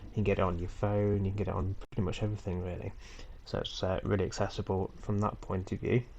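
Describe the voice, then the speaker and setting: A man with a stuffy head cold talks in a low, gravelly voice with a mellow, dark tone. He explains things in a loose, unhurried way, full of ums and restarts. The sound is a dull, lo-fi computer-mic recording made at home. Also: a little hiss or hum. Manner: relaxed, rambling, with frequent ums and small self-corrections. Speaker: tutorial host. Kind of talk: casual screen-recorded tutorial. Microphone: computer mic for a screen recording.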